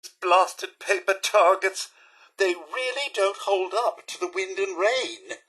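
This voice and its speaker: A man playing a polite, fussy robot butler in a video game, with a British accent, a raspy edge and a formal, theatrical, deferential delivery. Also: high-pitched, slow pace, pushed and bright, full character voice, low-quality recording. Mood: exasperated. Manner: formal, deferential, crisply pompous.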